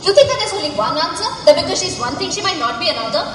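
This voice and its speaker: Stage play: woman playing confrontational, impassioned woman with animated high voice, far-off hall recording with background noise. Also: rapid-fire. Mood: accusing. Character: passionate, challenging woman confronting a male colleague. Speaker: stage actor. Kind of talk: student stage drama acting. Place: theatre stage, recorded from afar. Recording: echoey hall, far mic, noisy background.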